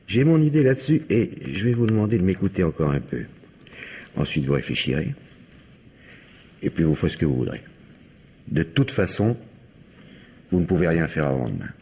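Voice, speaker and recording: A calm, insistent persuader from an old radio mystery. His voice sits low and sounds husky. It swings widely as he coaxes. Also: normal speed, long pauses, full character voice. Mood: coaxing. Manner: insistent, coaxing. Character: calm, persuasive man pleading for mercy. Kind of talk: 1950s radio drama acting.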